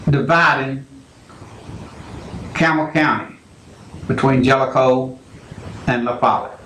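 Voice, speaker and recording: A man hosting a call-in radio show, talking in a slow, halting, rambling way. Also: mid-range voice, bright, forceful tone, roomy sound, slight background noise, poor audio. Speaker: radio talk show host.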